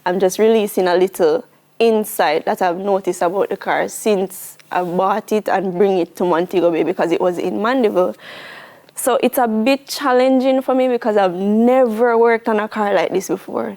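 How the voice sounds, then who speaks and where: A woman's voice: medium pitch, fairly even intonation, moderate pace, creaky, darkish tone. A twenty-year-old woman with a Jamaican accent talking casually and earnestly as an interview guest, often emphatic. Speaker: interview guest, young mechanic.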